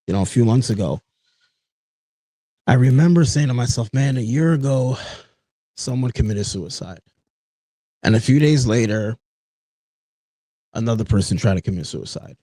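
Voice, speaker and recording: A man's voice: mid-range voice, lively intonation, moderate pace, long pauses, dark tone, dry recording. A man in his late thirties hosting a solo podcast, talking in an earnest, personal way. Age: 30s. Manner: earnest, personal, with frequent "you know" and "folks". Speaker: podcast host. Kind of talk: reflective solo podcast talk.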